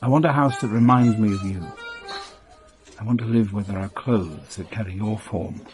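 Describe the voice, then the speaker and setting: An actor in a British radio drama playing a secretive, wealthy smuggler and devoted suitor, earnest and courtly. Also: male voice, fairly low pitch, normal speed, a little mellow, faint music behind, close to an everyday voice. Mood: persuasive, confiding.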